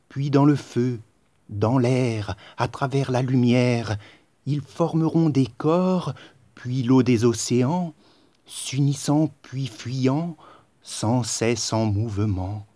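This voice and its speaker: Slow, solemn man reciting poetry. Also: mid-range voice, warm tone, no echo. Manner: declamatory, solemn, measured phrasing with pauses. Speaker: poet reciting own poem.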